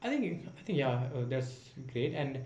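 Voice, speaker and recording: Young man, English, halting self-practice monologue to camera, mid pitch, roomy echo. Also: sing-song, a little mellow. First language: not English. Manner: hesitant, many fillers and restarts. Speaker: vlogger practicing spoken English. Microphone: camera mic. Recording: echoey room.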